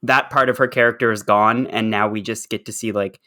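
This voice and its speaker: A man's voice: fairly low pitch, fairly even intonation, fairly quick, bright, forceful tone. A man co-hosting a podcast in casual chat, wry and mildly profane in his way of talking. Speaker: podcast co-host.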